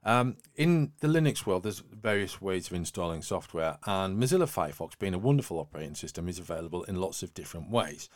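A man with a lowish voice presents tech news on a podcast. The sound is dry, with little room in it. His delivery mixes read items with wry, flippant commentary. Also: sing-song, fast talker, few pauses, no room sound. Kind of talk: news discussion podcast, semi-scripted.

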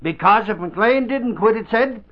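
An actor playing an excitable, folksy, blustering male newspaper reporter in an old-time radio drama. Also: sits high, measured pace. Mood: excited, urgent. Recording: muffled old radio broadcast.

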